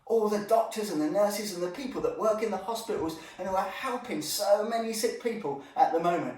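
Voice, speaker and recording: A man's voice: sits high, fairly quick. Echoey, distant man telling children Bible stories. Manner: animated, with exclamations and asides to the young audience. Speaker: children's Bible storyteller and church teacher. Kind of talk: informal storytelling lesson for children. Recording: echoey room, distant microphone.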